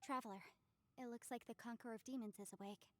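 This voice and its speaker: A woman plays a courteous young half-immortal advisor in a fantasy game. Her voice sits fairly high, and she is articulate and inquisitive, thinking things through aloud. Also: fairly even intonation, quick pace, a bit bright, close to an everyday voice. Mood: polite, attentive. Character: polite, inquisitive young female half-adeptus legal advisor. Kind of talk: scripted fantasy game acting.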